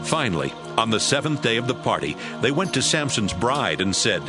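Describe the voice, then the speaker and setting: A man's voice: mid-range voice, very animated, normal speed, over music. A man giving dramatic scripted narration for a Bible audio drama, as storyteller.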